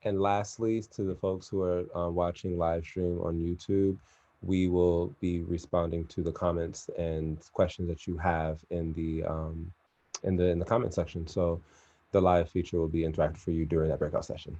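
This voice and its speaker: A man hosting a live-streamed gathering, making announcements in a loose, chatty way. His voice sits very low and sounds dark and mellow, and he fills his pauses with "um". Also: muffled recording. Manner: relaxed, chatty, with frequent fillers. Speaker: event host.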